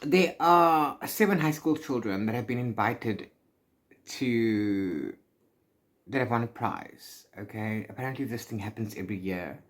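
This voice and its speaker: A book vlogger speaks at a middling pitch in a casual, rambling vlog style. The talk is informal and halting, often trailing off and circling back to correct itself. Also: lively intonation. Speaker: book vlogger hosting a read-along.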